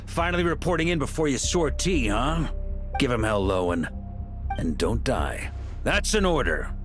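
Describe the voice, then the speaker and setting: A man's voice: medium pitch, moderate pace, over music, close to an everyday voice. A man playing a bold, rousing knight commander in a video game, speaking with authority. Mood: teasing, commanding. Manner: commanding, animated. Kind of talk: dramatic video game voice acting.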